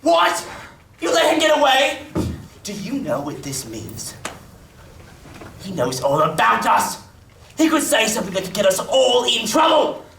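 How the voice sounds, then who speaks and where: An actor puts on a greedy old ringleader of thieves for a staged radio play. The pitch swings widely and excitably. The sound is roomy and distant, with faint noise. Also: unhurried, creaky, pauses often, full character voice. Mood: agitated.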